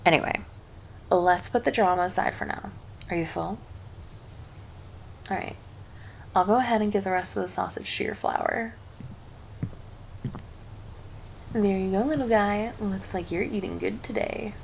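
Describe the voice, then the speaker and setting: A woman's voice: mid-range voice, normal speed, vocal fry, long pauses, slight background noise, natural voice, poor audio. A woman playing a cheerful, affectionate succubus girlfriend in an ASMR roleplay, doting and teasing as she speaks intimately to her partner. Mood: calm, affectionate. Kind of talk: ASMR roleplay, scripted monologue to the listener.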